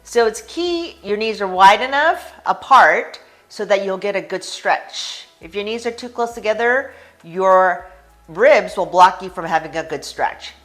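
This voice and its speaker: American woman, chiropractor, calm instructional voice, mid pitch, stretching lesson. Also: a little slow, soft background music. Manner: calm, guiding with breathing cues.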